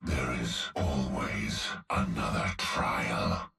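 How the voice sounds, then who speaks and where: A monstrous cyborg executioner growls grim threats in an extremely deep, gravelly voice and drags out every word at a crawl. The game clip sounds roomy and distant, with faint music underneath. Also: male voice, fairly even intonation, very slow, bright, forceful tone, full character voice. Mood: seething. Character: monstrous male cyborg executioner, vengeful and menacing.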